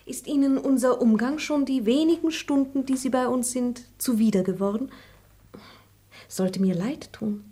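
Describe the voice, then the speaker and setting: Brief: bright-voiced young lady, teasing and playful, radio play. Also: high-pitched, normal speed, husky. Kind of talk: radio drama acting.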